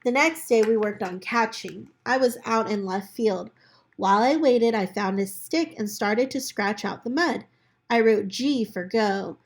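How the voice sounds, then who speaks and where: A woman reading a children's book aloud in an expressive way, as a storyteller talking to children. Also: on the high side, measured pace. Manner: animated character voices for dialogue, chatty asides to children.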